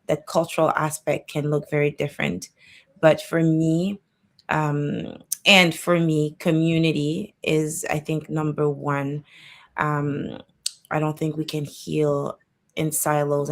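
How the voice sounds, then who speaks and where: A woman from Cameroon who grew up in Canada talks on a video-call panel. Her voice sits very low and gravelly, with an even, narrow melody, and she picks her words reflectively. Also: a little slow. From born in Cameroon, raised in Canada. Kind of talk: panel discussion.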